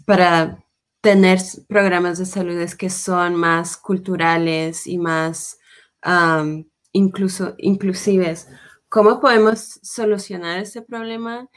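A young woman interviewing on a video call, speaking tentatively and pausing mid-sentence. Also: fairly low pitch. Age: young adult. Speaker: interviewer, health policy associate. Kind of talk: conversational interview. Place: indoors, plain room with a window. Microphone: computer mic on a video call.

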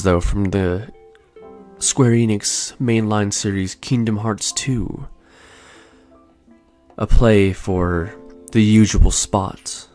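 A young man in his twenties hosting a podcast, telling his own story in a soft, slow, soothing way. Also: lowish voice. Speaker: podcast host. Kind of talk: calm reflective storytelling. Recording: faint lo-fi music bed. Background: soft lo-fi music.

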